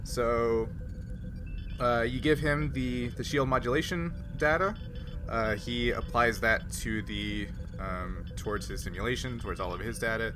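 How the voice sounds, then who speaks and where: A man's voice: mid-range voice, unhurried, music in the background. A man narrating as game master of a tabletop roleplaying game, in casual talk. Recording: noisy group recording, room ambience.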